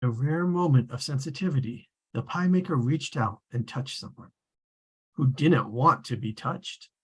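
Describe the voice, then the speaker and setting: A man at a table read, playing a wry, all-knowing storybook narrator with a husky voice. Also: medium pitch, moderate pace, lightly acted. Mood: wry, measured.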